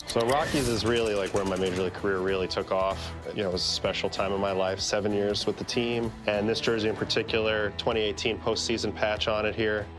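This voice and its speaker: A man's voice: on the low side, steady tone, fast, hardly any pauses, music in the background. A man, a former ballplayer, reminiscing casually in an easygoing way. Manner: easygoing, filler-laden. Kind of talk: casual reminiscing in a promo clip.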